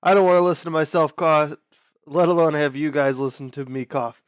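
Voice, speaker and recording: A young man hosting a gaming video, talking casually through his team choices while sick, sounding nasal and quieter than usual. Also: a little high, flat delivery, fairly quick, clear, resonant tone, no room sound. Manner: chatty, explains team choices with fillers and restarts. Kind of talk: casual gameplay commentary.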